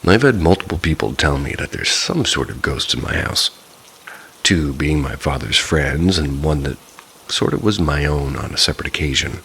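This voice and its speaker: A man's voice: rumbling low, normal speed, husky, poor audio. A man narrating first-person ghost stories from a script, in the style of a bedtime listen. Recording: steady rain ambience behind the voice. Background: rain falling.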